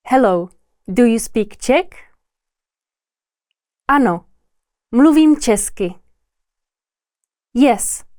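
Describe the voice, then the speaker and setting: A woman teaching a scripted language lesson, repeating each word slowly with pauses. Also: a little high, darkish tone, no room sound, studio quality. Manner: repeats each word slowly and deliberately, pausing between repetitions.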